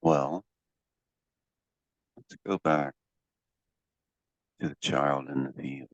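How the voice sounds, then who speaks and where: A man working as a hypnotherapy coach, guiding a session in a deliberate, commanding way with drawn-out pauses and phrases to be repeated. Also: rumbling low, fairly even intonation. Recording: dull, echoey lo-fi room sound.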